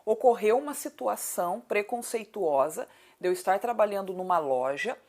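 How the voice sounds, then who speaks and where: A woman in her late thirties with a southern Brazilian accent and a mid-pitched voice. She speaks conversationally as an interview guest. She makes her points emphatically, repeating them and posing rhetorical questions. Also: lively intonation, normal speed, a little mellow. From Paraná, southern Brazil. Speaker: city council candidate, interview guest.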